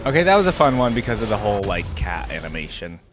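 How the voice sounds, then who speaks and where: Low-voiced man reacting casually over music. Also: big pitch swings, fast. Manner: informal, exclamatory, thinking aloud. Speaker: reaction video host. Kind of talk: casual live reaction commentary. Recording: music playing behind the voice. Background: game music and sound effects.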